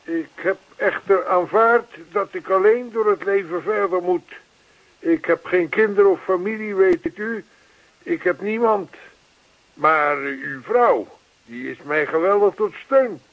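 An actor playing an earnest, lonely old widower in a radio play, talkative and old-fashioned, repeating himself. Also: male voice, high voice, a little slow, phone-quality sound. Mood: wistful. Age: older.

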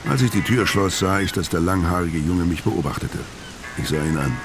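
Deep, world-weary tough guy. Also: brisk, background noise. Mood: brooding. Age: middle-aged. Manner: laconic, brooding. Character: hard, world-weary, taciturn middle-aged tough guy. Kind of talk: first-person narration in radio crime drama.

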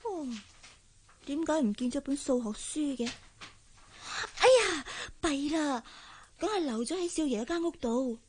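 A woman's voice: high voice, animated, unhurried, darkish tone, slight background noise. An actress playing an eager, timid teenage cleaning girl in a radio drama, girlish and inquisitive in the way she talks. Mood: worried. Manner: girlish, inquisitive, hesitant when nervous. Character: eager, timid sixteen-year-old cleaning girl, chatty and curious. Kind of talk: Cantonese radio drama acting.

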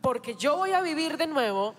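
Sermon interpreter, high voice, quick, line by line, echoey church with faint music. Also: sing-song, a bit bright. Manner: quick, follows the preacher line by line. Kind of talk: live Spanish interpretation of a sermon.

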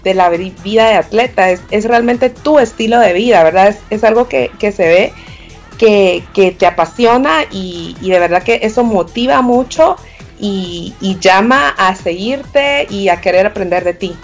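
A woman's voice: mid-range voice, moderate pace, faint music behind. A woman co-hosting a podcast, speaking in a gushing, admiring way to her guest. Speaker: podcast co-host. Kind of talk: casual podcast interview.

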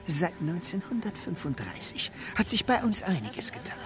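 A man's voice: high voice, big pitch swings, moderate pace. A man playing a zealous Nazi broadcasting official in a German radio play, declaiming pompously like a bureaucrat turned propagandist. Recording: music playing behind the voice.

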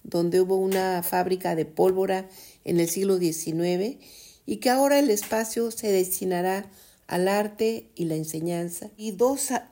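A woman presenting community radio news, reading an article aloud with a steady delivery and adding brief comments. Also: mid-range voice.

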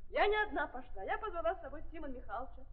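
A woman's voice: high-pitched, animated, very fast. An actress playing a weary, frightened young woman on trial, speaking plainly and insistently in 1930s stage radio style on an old recording. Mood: subdued, recounting. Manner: plain simple speech, repetitive insistent denials. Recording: tinny, narrow-band 1930s archive recording.

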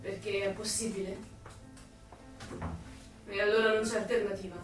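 A woman's voice: mid-range voice, little pitch movement, normal speed, lightly acted. A woman playing an intense, resolute yet lonely secret rebel in an Italian radio drama. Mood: pensive. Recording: very echoey, distant, music behind.

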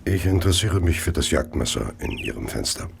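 An actor playing a coldly contemptuous gentleman serial killer in a radio play, speaking in a deliberate, theatrical and sinister way. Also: deep voice, very animated, creaky, background noise, exaggerated voice. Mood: quietly sinister. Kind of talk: radio play narration and dialogue.

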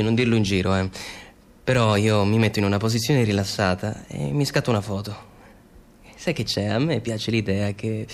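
A man's voice: on the low side, gravelly. An actor playing a casual, coy young male escort in a radio drama, speaking in a hesitant, offhand, conversational way.

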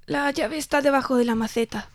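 Elderly storybook grandmother female actor: fragile, creaking, very high voice, affectionate by nature. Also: fairly even intonation, brisk. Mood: frail, affectionate. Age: older. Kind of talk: audio fairy-tale drama acting.

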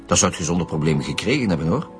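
A seasoned Flemish chief inspector, firm and dryly sarcastic. His voice sits low, and music runs behind him throughout. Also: animated, fast. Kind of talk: radio drama acting.

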